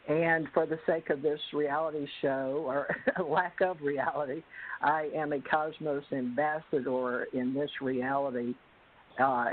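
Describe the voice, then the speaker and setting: Hesitant woman host who pauses often, heard over phone-quality audio. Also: deep voice. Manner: slow, hesitant, many pauses. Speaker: show host. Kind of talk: self-introduction on a call-in panel. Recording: tinny phone line.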